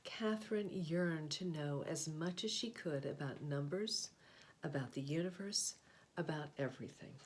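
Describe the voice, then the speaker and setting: Lower-voiced woman reading a picture book aloud. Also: unhurried, slight background noise. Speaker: read-aloud host, storyteller. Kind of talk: picture book read-aloud with short asides.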